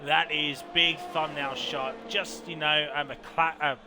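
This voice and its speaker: A man calls a live sim race with a slightly high voice, drawn-out and unhurried between bursts of excitement. He throws in quick exclamations and chatty asides. Game car noise runs clearly under his voice. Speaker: sim racing commentator.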